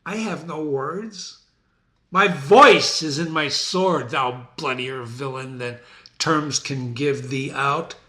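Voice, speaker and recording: A man's voice: medium pitch, slow pace, sharp tone, reverberant. A man playing a vengeful, grieving warrior nobleman, calling out challenges in archaic verse. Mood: fierce challenge.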